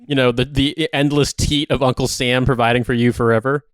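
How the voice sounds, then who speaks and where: A man's voice: medium pitch, steady tone, fairly quick, dry recording, studio quality. A man co-hosting a podcast in casual banter, talking in an emphatic, incredulous, sweary way. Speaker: podcast co-host.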